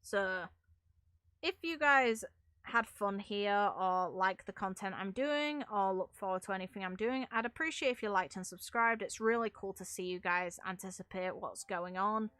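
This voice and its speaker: A woman's voice: medium pitch, fairly bright tone, no room sound. A woman chatting casually on a livestream as a game streamer, giving her opinions in an emphatic, opinionated way. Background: music.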